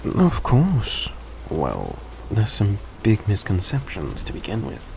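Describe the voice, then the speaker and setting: A man acting as a gentle, courteous vampire speaks in a deep, slow voice with a gravelly creak. His phrasing is polite, formal and slightly hesitant, as in an intimate audio drama told straight to the listener. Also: heavily acted. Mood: explaining, relaxed. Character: courteous, gentle male vampire repaying a debt. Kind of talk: intimate scripted audio roleplay addressed to the listener. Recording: steady background noise behind voice.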